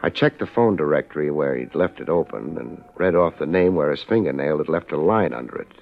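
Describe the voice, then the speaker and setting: An American actor playing a wry, laid-back but tough private investigator in a 1950s radio drama. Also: male voice, very deep voice, lively intonation, fairly quick, creaky, heavily acted. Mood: wry, watchful. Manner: laid-back, wry, hard-boiled delivery. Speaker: lead actor and narrator. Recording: muffled old radio recording, music behind.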